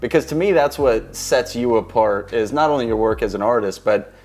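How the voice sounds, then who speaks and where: A man hosts an interview podcast in an average-pitched voice, friendly and affirming as he asks questions. He keeps chiming in with short agreements. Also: brisk, darkish tone. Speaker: podcast host.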